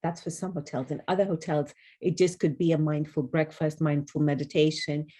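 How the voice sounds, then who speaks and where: A woman's voice: low-pitched, level tone, brisk, mellow. A woman in her forties from Kenya, speaking articulately and earnestly as a guest answering questions in a conversational interview. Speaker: wellness consultant and author, podcast guest.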